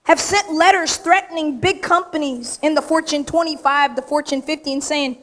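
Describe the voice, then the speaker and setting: Emphatic woman at an awards podium, high and a touch raspy, direct and punchy. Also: moderate pace, fairly bright tone. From United States. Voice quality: slightly raspy. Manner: straight-talking, emphatic, punchy short phrases. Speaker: award recipient giving an acceptance speech. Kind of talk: acceptance speech to a live audience. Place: large event room with audience.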